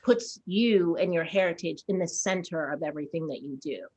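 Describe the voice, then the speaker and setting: Haitian-born woman director, low voice, measured and emphatic, panel guest. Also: a little mellow, breathy edge. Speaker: theater director and actor. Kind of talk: panel conversation.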